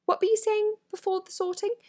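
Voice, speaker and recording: A woman's voice: very high voice, level tone, brisk, warm tone, pitched above the performer's own voice. A woman voicing an earnest, inquisitive, slightly anxious schoolgirl in a storybook, with a questioning manner.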